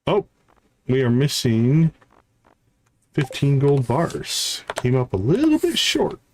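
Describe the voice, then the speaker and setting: Male gaming host, medium pitch, casual live commentary that lists and counts items, slightly noisy recording. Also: wildly swooping pitch, slow, darkish tone. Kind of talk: casual gameplay commentary, talking through tasks.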